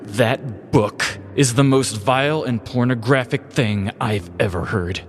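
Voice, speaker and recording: A man's voice: medium pitch, a little slow, in a large hall, pitched above the performer's own voice, close to an everyday voice. A man voicing a prudish boyfriend in a comic story, pompous and full of mock indignation. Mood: disgusted.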